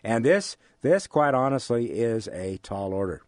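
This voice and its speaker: An older American man giving thoughtful interview answers in a measured, formal way, pausing as he searches for words. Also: mid-range voice, animated, a little mellow, dry recording, studio quality. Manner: measured, deliberate, formal wording with hesitant pauses. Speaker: interview guest, college history teacher. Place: radio studio.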